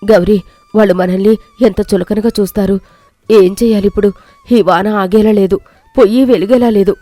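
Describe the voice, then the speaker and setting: A woman's voice: medium pitch, fairly even intonation, normal speed, warm tone, dry recording, soft background music, lightly acted. A woman voicing a fretful village mother-in-law. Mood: panicked. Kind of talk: animated storybook narration.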